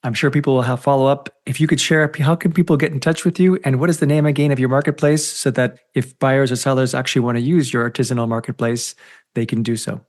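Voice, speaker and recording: A man hosting a podcast, wrapping up an interview in a brisk, friendly way. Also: medium pitch, steady tone, darkish tone. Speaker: podcast host. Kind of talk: interview wrap-up.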